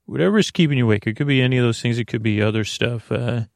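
A man with a creaky voice hosting a bedtime podcast in a soothing, meandering monologue, halting and stammering through false starts. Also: mid-range voice, darkish tone, no room sound. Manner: lulling, soothing. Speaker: podcast host.

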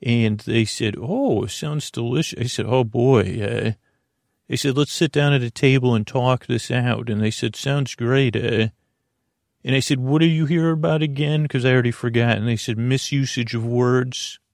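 A man hosting a bedtime podcast, talking in a slow, meandering way full of fillers, tangents and restarts, with a creaky voice. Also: medium pitch, darkish tone, no room sound, clean studio sound. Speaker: podcast host. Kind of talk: rambling bedtime podcast monologue.

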